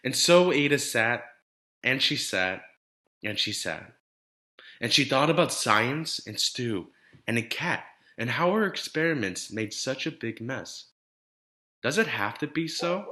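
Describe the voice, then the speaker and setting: Animated man reading a children's storybook. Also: mid-range voice. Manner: deliberate, dramatic storytelling with emphasized rhymes. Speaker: elementary teacher reading to students. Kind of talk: expressive children's picture-book read-aloud.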